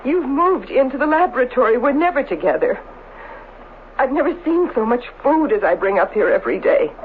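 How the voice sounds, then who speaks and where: A pleading, weary wife, tinny recording. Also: female voice, sits high, animated. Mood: weary reproach. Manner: anxious, halting, pleading. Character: worried, weary doctor's wife, timid and pleading. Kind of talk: old-fashioned radio drama acting. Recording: tinny, band-limited, noisy radio broadcast recording.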